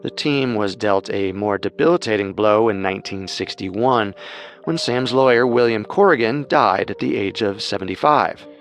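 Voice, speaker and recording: A man co-hosting a podcast, reading scripted true-crime narration in a measured storytelling manner. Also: fairly low pitch, soft background music.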